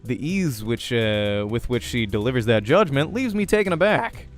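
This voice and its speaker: A man's voice: medium pitch, animated, normal speed. A man reading a visual novel aloud as the host of a let's play, narrating in character voice and prose. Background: music.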